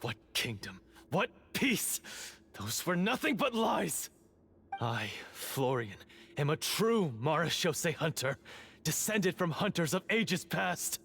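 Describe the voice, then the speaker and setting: A man's voice: fairly high pitch, big pitch swings, slow, vocal fry, fairly bright tone, heavily acted. A man voicing a bitter, resentful monster hunter who betrays his companions in a video game. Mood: scornful. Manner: resentful, scornful villain. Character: bitter male monster hunter turned traitor.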